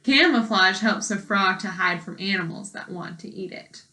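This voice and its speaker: A woman teacher reading a book aloud to young children, deliberately, with explanations and questions for her listeners. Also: medium pitch, pushed and bright. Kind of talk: read-aloud lesson with commentary and questions.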